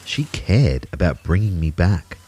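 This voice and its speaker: An audio drama narrator with a very deep voice. He tells his own story in measured, wry phrases, a self-deprecating everyman. There is noise on the recording. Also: very animated, a little mellow. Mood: wry, reflective. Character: male narrator, self-deprecating everyman turned invisible ghost. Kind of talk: scripted first-person audio drama narration.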